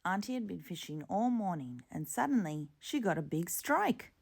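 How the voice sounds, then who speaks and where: A woman's voice: on the low side, very animated, moderate pace, gravelly, a little mellow, husky. A woman reading a children's storybook expressively. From Torres Strait, Australia. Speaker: storybook reader.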